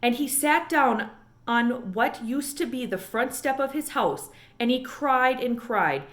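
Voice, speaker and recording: Storybook elderly lady librarian, woman performing: proper, emphatic storyteller, lively melodic delivery. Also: sits high, a little slow. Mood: storytelling.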